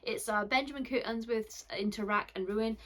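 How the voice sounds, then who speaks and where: A woman's voice: a little high, moderate pace, fairly bright tone. A woman from Wales giving a casual, rambling book review with lots of fillers and a bashful, self-deprecating manner. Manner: chatty, rambling, many fillers.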